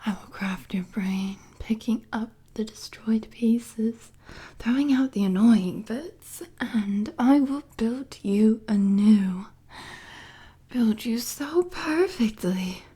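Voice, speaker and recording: An obsessive, psychotic supervillainess for an intimate audio roleplay. Her voice is very breathy and husky, often close to a whisper, and drawn out extremely slowly. She sits a little high and teases gleefully as she menaces. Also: lively intonation, warm tone, heavily acted. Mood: obsessive, seductive menace.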